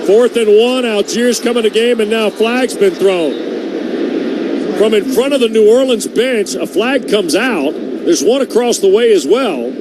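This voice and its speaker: A male American radio announcer calls football play by play in a high-pitched voice. Heavy stadium noise sits behind everything he says. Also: a little slow.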